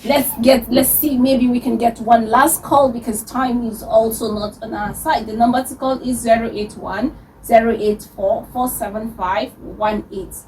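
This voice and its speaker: Radio host woman, slightly high voice. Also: moderate pace. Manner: relaxed, light laughter. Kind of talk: radio talk show hosting. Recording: distant mic with faint noise.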